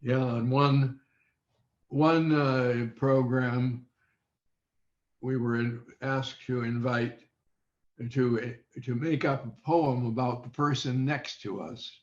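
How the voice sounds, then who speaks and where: An elderly man reminiscing as an interview guest, halting and circling back to rephrase, on a video call. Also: mid-range voice, fairly even intonation. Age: over 70. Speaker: interview guest, former child radio quiz contestant. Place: online video meeting. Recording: dull, echoey video call audio.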